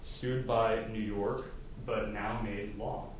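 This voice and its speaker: A man with a fairly low voice questions potential jurors in a conversational, explanatory style. The room echoes heavily, and the recording is muffled with faint noise behind it. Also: fairly even intonation, slow pace. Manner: informal, explanatory, hedging with casual asides. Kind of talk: courtroom jury questioning, conversational. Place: indoor courtroom.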